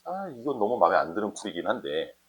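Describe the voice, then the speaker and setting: A man's voice: low voice, sing-song, fast talker, breathy edge. A man teaching math informally, thinking aloud in a conversational way and often checking understanding with short questions.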